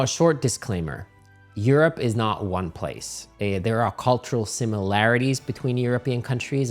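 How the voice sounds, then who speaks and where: Man giving chatty travel advice. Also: on the low side. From Finland. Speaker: channel host. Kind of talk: casual talking-head travel advice.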